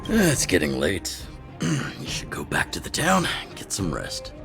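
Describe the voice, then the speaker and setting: A man playing a gruff, weathered, level-headed underworld chief in a video game, speaking frankly and in a measured way. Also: sits low, wildly swooping pitch, exaggerated voice. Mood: calm, reassuring. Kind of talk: video game character acting. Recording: background music under the voice.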